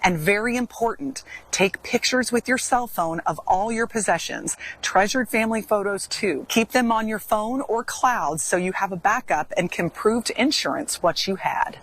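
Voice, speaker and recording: A woman's voice: medium pitch, moderate pace, few pauses. A woman reporter reading scripted narration for a television news story.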